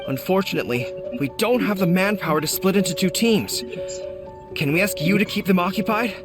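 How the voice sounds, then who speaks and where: An earnest young general lays out tactics in a formal, measured way, with a fairly high male voice. Game music plays clearly behind him. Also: expressive. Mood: relieved. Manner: formal, measured military briefing.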